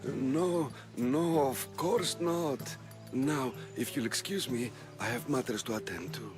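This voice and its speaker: An actor playing a timid, kindly Greek healer priest in a dramatic video game, hesitant and deferential. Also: male voice, mid-range voice, slow pace, music in the background, natural voice. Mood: nervous. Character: timid, nervous, kindly male healer priest in ancient Greece.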